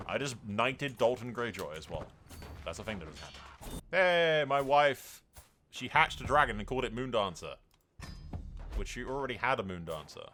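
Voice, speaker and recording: A man giving casual commentary on a roleplay strategy game, animated and irreverent, with sweary asides. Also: mid-range voice. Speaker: gaming video narrator.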